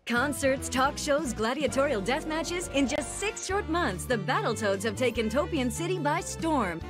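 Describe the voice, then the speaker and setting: A woman's voice: high voice, lively intonation, moderate pace, over music. A woman voicing a gushing, devoted TV presenter in a cartoon parody of television.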